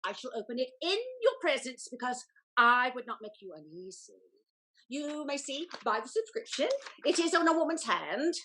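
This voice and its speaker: A woman's voice: high voice, sing-song, normal speed, sharp tone, heavily acted, rough recording. A woman playing a vain, tyrannical older aristocratic widow in a Restoration comedy, gushing, exclamatory and overwrought. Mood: coy, fluttery. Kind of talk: theatrical Restoration comedy reading.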